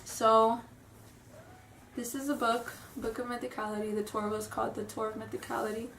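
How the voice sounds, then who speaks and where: A woman's voice: fairly high pitch, level tone, moderate pace, a bit bright, slight background noise. A young woman who sounds stuffy from a cold, chatting nervously and rambling in a casual vlog. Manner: nervous, rambling, apologetic, giggly. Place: room at home. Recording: roomy, distant home camera audio.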